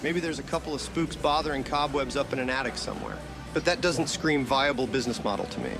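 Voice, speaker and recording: A man's voice: mid-range voice, fast, natural voice. An actor voicing a wisecracking, laid-back male ghost hunter with a dry, sarcastic delivery for a motion comic. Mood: deadpan scheming. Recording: loud music bed under voice.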